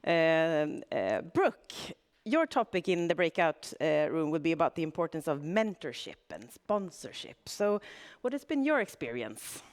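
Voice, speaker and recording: A woman's voice: deep voice, very animated, measured pace. A woman moderating a panel discussion, thanking speakers and passing the floor in an encouraging way.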